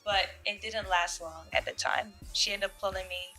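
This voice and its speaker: A young woman from Jamaica, a fashion model, answering interview questions in a relaxed way with fillers and soft laughs. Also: medium pitch, moderate pace, soft background music, rough recording.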